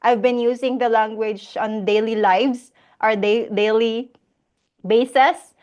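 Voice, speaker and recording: Slow-talking Filipino woman hosting a Q&A. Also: a little high, fairly even intonation, a little mellow, highs cut off. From Philippines. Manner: reads viewer questions aloud, laughs often. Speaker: host of a live Q&A. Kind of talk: casual live-stream Q&A.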